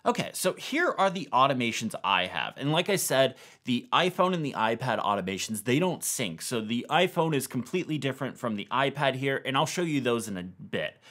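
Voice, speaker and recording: Man with lively, varied pitch. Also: fairly quick, few pauses. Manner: casual, step-by-step explaining. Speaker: tech video host. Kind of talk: explanatory tutorial narration to camera.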